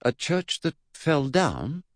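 A man's voice: mid-range voice, big pitch swings, normal speed, darkish tone, dry recording, studio quality, voice pushed up, close to an everyday voice. A man voicing a patient, puzzled twelve-year-old boy in a comic children's audiobook, hesitant, polite and earnest.

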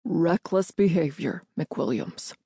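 A woman's voice: on the low side, lively intonation, moderate pace, warm tone, no room sound, clean studio sound. A woman voicing a stern, commanding older male general with a curt, withering manner in an audiobook. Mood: stern, hard.

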